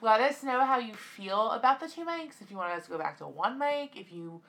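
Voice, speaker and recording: A young woman co-hosting a podcast in casual chat, teasing and chatty, with a loud voice. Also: on the high side, expressive, fairly quick. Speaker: podcast co-host. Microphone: podcast microphone.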